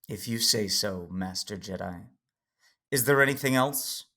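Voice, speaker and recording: An actor playing a weary, guarded man with a dry humour and a husky voice in an audio drama. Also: on the low side, pushed and bright, full character voice. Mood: resigned.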